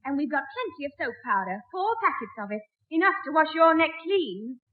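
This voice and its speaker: A woman playing a schoolboy's suspicious older sister in a 1960s radio sitcom, brisk and no-nonsense. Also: high-pitched, sing-song. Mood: suspicious, scolding. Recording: tinny, narrow old radio recording.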